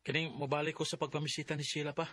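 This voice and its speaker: Lovesick young suitor, Bisaya accent, slightly high husky voice, earnest, insecure, radio drama. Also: male voice, little pitch movement, racing, a bit bright, no room sound. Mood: eager, insecure. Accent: Filipino, Bisaya.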